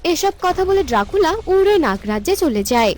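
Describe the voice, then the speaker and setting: A woman's voice: very high-pitched, big pitch swings, moderate pace, darkish tone. A woman narrator reading a scripted cartoon fairy tale. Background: music.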